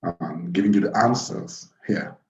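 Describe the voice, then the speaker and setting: Low-voiced man in a roomy space. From Cameroon. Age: 30s. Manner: halting, repeats phrases. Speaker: webinar host. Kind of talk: informal live webinar talk. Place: small plain room indoors. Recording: roomy, dull webcam audio.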